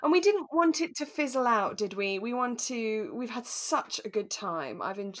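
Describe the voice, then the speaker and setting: A British woman co-hosting a podcast in relaxed, casual chat about a radio drama. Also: fairly high pitch, normal speed, dry recording, studio quality. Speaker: podcast co-host.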